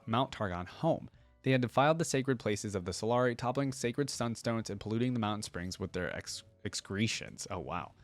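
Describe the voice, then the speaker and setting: A man's voice: fairly low pitch, no room sound. A man hosting a reaction video, reading a story aloud and commenting in a casual, slangy way.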